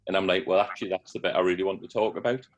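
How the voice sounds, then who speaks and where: Very low, flat-voiced hesitant man. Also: very fast, dull audio. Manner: hesitant, filler-heavy. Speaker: caller asking for advice. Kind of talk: video-call question. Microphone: video call.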